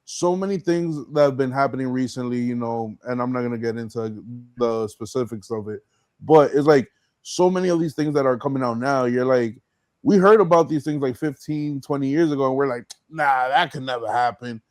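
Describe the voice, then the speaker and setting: A man hosts a casual interview in a medium-pitched voice. His wording is slangy and informal, with swearing mixed in. The sound is dry, free of room reflections. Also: fairly quick, no echo. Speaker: podcast host.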